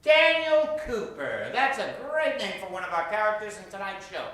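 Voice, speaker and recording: A man's voice: high voice, big pitch swings, moderate pace, pushed and bright. A man hosting an improv comedy show, projecting theatrically as he asks a live audience for suggestions. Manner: theatrical showman, projecting to a crowd. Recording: very echoey theater, distant mic.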